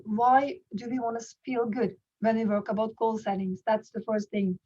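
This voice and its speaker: A woman's voice: fairly high pitch, darkish tone, dull audio. A woman with a Norwegian accent, a native Norwegian speaker, giving an informal online training presentation in an earnest, explanatory way, often rephrasing mid-sentence. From Norway. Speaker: workshop trainer.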